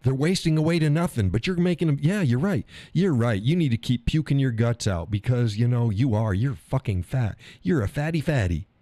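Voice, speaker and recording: A man's voice: medium pitch, expressive, brisk, hardly any pauses, mellow, no echo. A man hosting a live stream show, talking bluntly and profanely. He hammers points by repeating phrases and reads out viewer chat comments.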